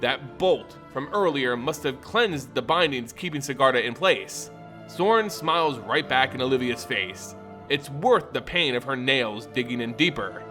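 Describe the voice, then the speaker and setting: A man giving a dramatic, theatrical scripted narration of a fantasy story, with deliberate emphasis. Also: mid-range voice, expressive, sharp tone. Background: music.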